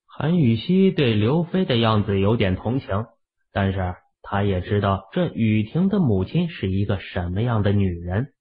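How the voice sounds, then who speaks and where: A man's voice: fairly low pitch, sing-song, moderate pace, breathy edge. A man narrating scripted fiction as an audiobook reader. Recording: very narrow, phone-like sound.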